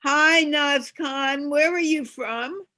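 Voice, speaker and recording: A woman with a high voice hosts an online meetup over a video call. She speaks at an unhurried pace, putting questions to guests in a conversational way. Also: pushed and bright. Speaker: host.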